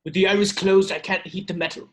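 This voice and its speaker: A man playing a worried, gruff dwarf blacksmith in an amateur script read. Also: high voice, steady tone, quick pace, lightly acted. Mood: grim warning.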